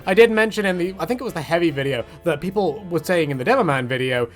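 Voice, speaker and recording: A man's voice: fairly high pitch, expressive, quick pace, soft background music. A man giving a casual, rambling video commentary in a chatty way, often correcting himself and wandering off on tangents. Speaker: gaming video creator.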